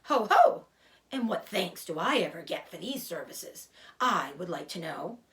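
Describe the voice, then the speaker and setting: A woman's voice: fairly low pitch, very animated, measured pace, fairly bright tone, heavily acted. A woman voicing a greedy, self-pitying old male rat in a storybook, complaining and mocking theatrically. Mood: sarcastic, disgusted.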